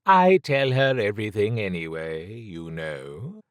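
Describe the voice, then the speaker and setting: A man voicing a worldly, lazily amused woman thief who speaks in formal, measured phrases. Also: on the low side, lively intonation, clean tone, no echo, studio quality. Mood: teasing.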